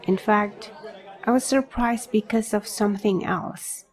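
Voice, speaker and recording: A woman's voice: mid-range voice, measured pace, crowd noise, lightly acted. A woman playing an introspective young reader, narrating his story in scripted first-person audio drama style. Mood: measured.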